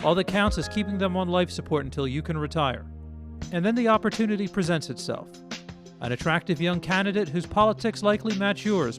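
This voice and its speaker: A man narrating a scripted video essay as its host, reading in the style of political media commentary. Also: sits high.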